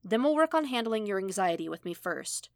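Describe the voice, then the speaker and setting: A woman's voice: mid-range voice, sing-song, fairly quick, creaky, dry recording. Male mentor, acted by a woman narrator: blunt, reassuring, casual phrasing, dry storybook sound. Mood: upbeat, reassuring. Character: gruff but caring male mentor, casual, reassuring billionaire.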